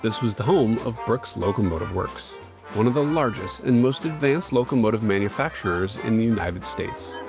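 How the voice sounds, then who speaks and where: A man reading scripted documentary narration in a measured, formal way. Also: fairly low pitch, animated. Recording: music bed under voice.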